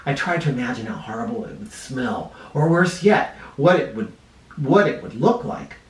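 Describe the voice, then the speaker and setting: A man reading a children's picture book aloud in an expressive way, with dramatic emphasis. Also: mid-range voice, normal speed. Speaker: storybook reader for kids. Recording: roomy echo, distant mic.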